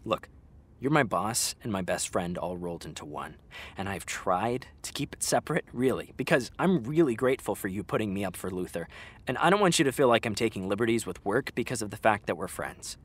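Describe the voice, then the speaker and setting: A man's voice: fairly low pitch, lively intonation, a little fast. An actor playing a frank, exasperated young man talking to his best friend in a naturalistic, conversational audio drama style. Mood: blunt, exasperated.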